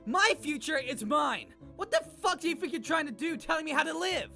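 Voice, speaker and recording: A man's voice: very high voice, lively intonation, fairly quick, creaky, bright, forceful tone, soft background music, exaggerated voice. An actor playing a sullen, defiant teenage son in a visual novel game, bitter and insolent as he argues back. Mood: defiant outburst.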